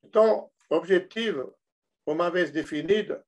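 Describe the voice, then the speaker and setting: Male industrial consultant, northern French accent, a touch higher in pitch, halting lecture delivery. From northern France. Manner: halting, many fillers and restarts. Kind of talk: technical lecture.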